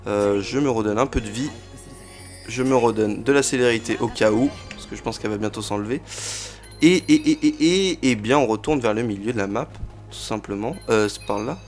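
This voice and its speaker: A man's voice: lowish voice, fairly quick, faint music behind. Chatty man with a cold commenting gameplay. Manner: chatty, many fillers and interjections. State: has a cold. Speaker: let's play host.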